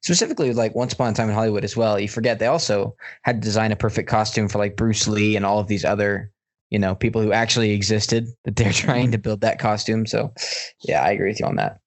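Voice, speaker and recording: A man's voice: on the low side, steady tone, fast talker. A man chatting casually as a podcast co-host. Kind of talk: casual chat.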